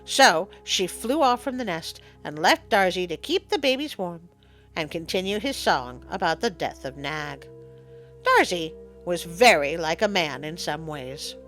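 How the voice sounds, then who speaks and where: A woman reading a children's story aloud as an expressive narrator. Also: fairly low pitch, unhurried, soft background music.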